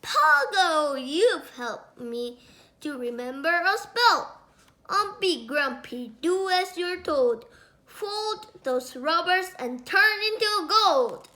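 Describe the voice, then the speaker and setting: Storybook wizard, a young female reader acting him: grumbling, commanding, high-pitched, unhurried. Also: lively intonation, sharp tone, exaggerated voice. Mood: excited, commanding. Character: grumbling old-fashioned male wizard, aching, then commanding.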